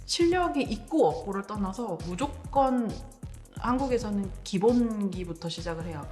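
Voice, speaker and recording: A woman's voice: mid-range voice, expressive, very fast, darkish tone, soft background music. A woman trainer explaining in an interview.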